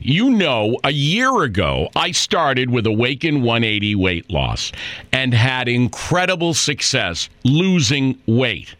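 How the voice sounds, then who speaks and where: A man's voice: mid-range voice, fairly bright tone, dry recording. A man giving a conversational testimonial in a commercial. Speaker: advertisement testimonial speaker.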